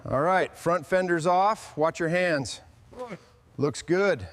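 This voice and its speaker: A man's voice: fairly high pitch, slow, a bit bright, a little hiss or hum. A man giving hands-on workshop commentary as a vehicle restorer, in short instructional remarks to helpers.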